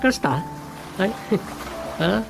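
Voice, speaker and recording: A man's voice: high voice, lively intonation, very slow, creaky, full character voice. An actor playing a chatty, teasing village man with an Ilocano accent in a radio comedy-drama. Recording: prominent music under the dialogue.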